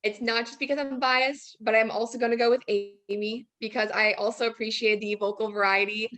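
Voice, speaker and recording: A woman's voice: fairly high pitch, steady tone, a little fast, a bit bright. A young woman giving casual feedback as a guest judge on a video call, hesitant and tactful. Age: young adult. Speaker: guest judge, student teacher. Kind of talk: casual spoken critique.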